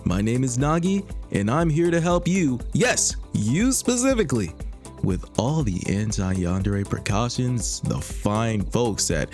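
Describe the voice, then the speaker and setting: A man's voice: medium pitch, big pitch swings, measured pace, a little mellow, soft background music. A man playing an upbeat, slick parody infomercial host, with theatrical sales patter and exaggerated emphasis. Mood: salesy, urgent. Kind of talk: comedic scripted infomercial roleplay.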